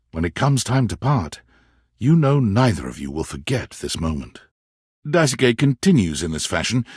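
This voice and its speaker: A man playing a wry, dramatic storyteller who narrates a romance video game in measured second person. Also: fairly low pitch, slightly rough, no room sound, clean studio sound.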